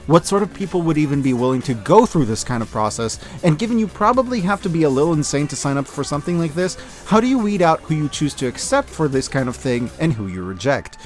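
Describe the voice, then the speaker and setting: Guy doing a video essay review, really expressive n kinda wry, voice goes up and down a lot, bit of music under it. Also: fairly high pitch, fast talker, hardly any pauses. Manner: enthusiastic, expressive, with wry asides. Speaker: online media reviewer and host. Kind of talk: scripted video essay narration, year-in-review commentary.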